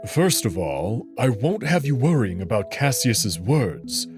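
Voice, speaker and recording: Man voicing an assured, lively wolf noble over music. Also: medium pitch, measured pace. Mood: earnest, reassuring. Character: confident, teasing male alien wolf noble, protective. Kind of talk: visual novel character acting. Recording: music behind the voice.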